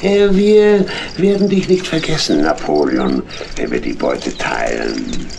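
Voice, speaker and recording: An actor playing a sly, menacing, imperious cat pirate captain in a children's radio play. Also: male voice, a bass voice, expressive, unhurried, gravelly, darkish tone, full character voice. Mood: sly, gloating. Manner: imperious, impatient, gloating. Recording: noisy old audio drama record.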